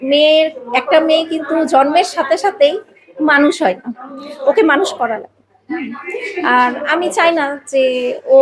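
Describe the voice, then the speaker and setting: Lady, pretty high voice, casual podcast guest chat, emphatic n expressive, people chattering in the bg. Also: low-quality recording. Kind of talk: casual personal conversation.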